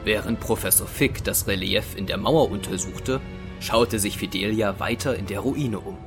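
Casting: male storyteller, medium pitch, scripted audio drama narration over clear background music. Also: normal speed. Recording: music under the voice.

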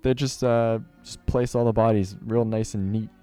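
A young man hosting a casual podcast with a very low, dark voice. He talks in a relaxed, laid-back way and often repeats his words. Faint music can be heard behind him. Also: moderate pace, smooth. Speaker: podcast host.

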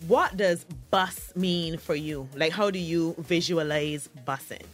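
A woman hosting a radio show, interviewing a guest in a bubbly, teasing way with quick affirmations. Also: low-pitched, faint music behind. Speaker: radio host.

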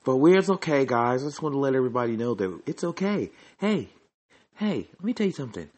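A man's voice: medium pitch, big pitch swings, fast, darkish tone. A man hosting his own podcast, delivering a joking, repetitive sports rant. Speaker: podcast host.

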